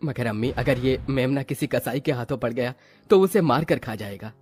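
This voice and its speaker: A man's voice: mid-range voice, normal speed, darkish tone, slightly rough, no room sound, faint music behind, lightly acted. A man voicing a humble, pious poor father in a meek, pleading manner for a story. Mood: gentle.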